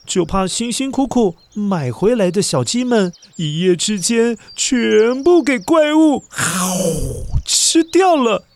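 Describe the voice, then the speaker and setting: A man's voice: extremely high pitch, animated, takes it slowly, a little mellow. A man with a Taiwanese Mandarin accent narrating a children's story expressively and dramatically, putting questions to his young listeners.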